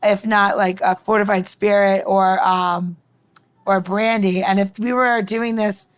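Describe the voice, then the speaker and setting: A woman's voice: medium pitch, flat delivery, unhurried. A woman mixologist giving a casual, informal demonstration, chatting and explaining as she goes. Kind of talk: casual cooking-style demonstration.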